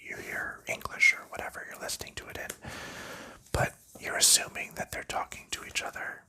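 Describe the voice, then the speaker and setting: A man talks in a steady whisper throughout, with a strikingly bright, crisp edge to the air in his voice. He rambles casually about whatever comes to mind, stopping for ums and small restarts as he handles game pieces. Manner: soft rambling chatter with frequent ums. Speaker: ASMR channel host. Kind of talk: whispered ASMR board game unboxing.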